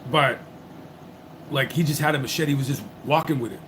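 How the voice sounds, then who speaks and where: A man's voice: medium pitch, fairly quick, noisy background. A man hosting a livestream, chatting casually and rambling with lots of swearing and restarts. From United States. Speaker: livestream host.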